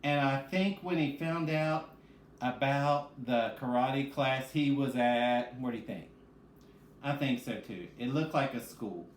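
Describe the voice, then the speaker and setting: A man with a mid-pitched voice leads a children's read-aloud lesson as a reading teacher. He speaks directly to young listeners. The room is echoey, with faint noise behind him. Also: unhurried. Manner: addresses children directly, asks and answers own questions.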